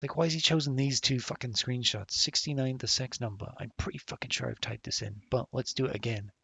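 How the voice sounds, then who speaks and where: A man's voice: mid-range voice, fairly quick, gravelly, sharp tone, husky. A man live-streaming a puzzle game, muttering and swearing in frustration as he guesses passwords. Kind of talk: live-stream commentary while gaming.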